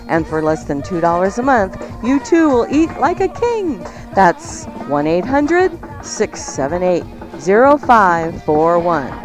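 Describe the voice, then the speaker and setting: A woman hosting a talk radio show, presenting segments and reading sponsor copy. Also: mid-range voice.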